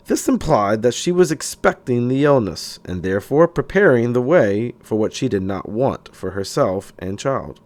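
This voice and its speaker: A man narrating a self-help book audiobook-style, in a deliberate, declarative way, sometimes stumbling over words. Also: mid-range voice, animated, darkish tone.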